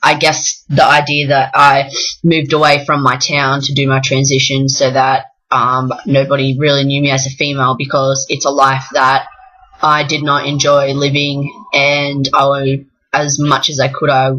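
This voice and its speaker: A young Australian man from the south coast of New South Wales, talking frankly and in a rambling way in a personal vlog, with many pauses. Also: mid-range voice, completely flat delivery, pushed and bright, rough recording. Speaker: vlogger. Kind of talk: casual personal vlog talk.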